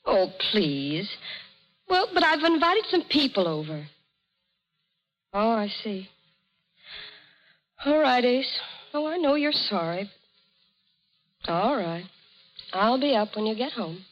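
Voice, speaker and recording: An actress playing a headstrong, wounded young socialite in a 1930s radio drama, pleading in a dramatic manner. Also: female voice, on the high side, sing-song, slow pace, long pauses, highs cut off, heavily acted. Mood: disappointed, coaxing. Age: young adult. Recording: vintage radio recording, faint music.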